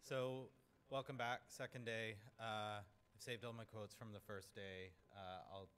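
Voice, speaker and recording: A man's voice: fairly low pitch, steady tone, unhurried, fairly bright tone, clear, resonant tone. A man hosting a conference, introducing a speaker in a casual, upbeat way with plenty of filler words. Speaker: conference host. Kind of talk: event introduction.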